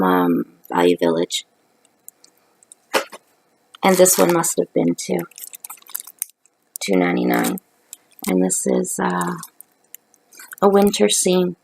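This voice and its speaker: A middle-aged woman from Canada, hoarse from a cold, chatting casually through a craft haul video on her couch, with lots of ums. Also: fairly low pitch, big pitch swings, slow pace, long pauses, a little hiss or hum, low-quality recording. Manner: rambling, chatty, many ums. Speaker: craft vlogger. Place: living room at night, on a couch. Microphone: webcam at close range.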